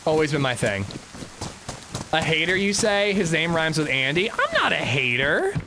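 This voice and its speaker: Man, gaming streamer, slightly high voice, lively swings, rambling casual chat, noisy background. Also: normal speed. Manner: rambling, chatty, swears, reads chat aloud.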